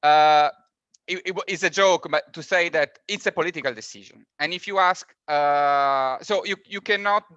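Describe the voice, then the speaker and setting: A man whose first language is not English, speaking on a webinar panel with halting, repetitive phrasing. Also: on the high side, a bit bright, smooth, no echo, highs cut off. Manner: halting, repeats words, non-native phrasing. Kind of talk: online panel discussion. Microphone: video call.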